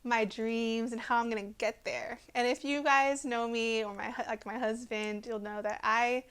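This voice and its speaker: A woman's voice: fairly high pitch, little pitch movement, moderate pace, a bit bright, smooth. A woman in her twenties with an American accent, giving a personal testimony to camera in an earnest, hesitant way. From Texas, USA. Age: 20s.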